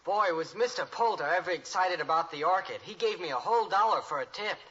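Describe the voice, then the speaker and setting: High-voiced cheeky American teen. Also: male voice, animated, a little fast, sharp tone. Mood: excited. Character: eager, cheeky, slangy teenage son. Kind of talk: 1950s radio sitcom acting. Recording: hissy, muffled old radio recording.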